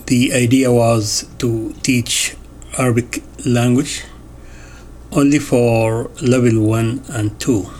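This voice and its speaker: A man reading an interview transcript aloud in a measured way, announcing each question and answer. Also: medium pitch, steady tone, a little mellow, a little hiss or hum. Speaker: voice-over reader of an interview transcript.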